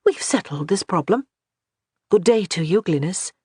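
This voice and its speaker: A woman's voice: fairly low pitch, animated, moderate pace, warm tone, husky, dry recording, clean studio sound. A reader voicing a proud, blunt young noblewoman who speaks firmly and plainly. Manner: firm and forthright.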